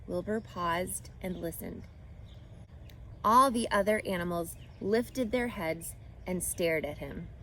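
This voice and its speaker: A woman narrating a children's book aloud in an expressive storytelling style. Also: fairly low pitch, slow pace, slight background noise.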